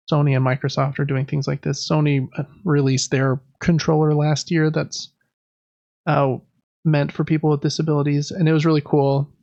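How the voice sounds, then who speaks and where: A man speaks as a podcast co-host in a loose, conversational style. His voice sits slightly high for a man and has a noticeable creak. The recording is very dry and a little dull. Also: a little mellow, no room sound. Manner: conversational, loosely reading through news items. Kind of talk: casual podcast chat on gaming news.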